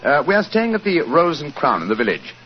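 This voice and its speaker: Gentleman sleuth: assured, courteous, upper-class English, very expressive delivery, dull 1940s radio sound. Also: fairly high pitch, brisk, over music. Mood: probing, resolute. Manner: crisp, formal, politely probing. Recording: muffled 1940s radio recording.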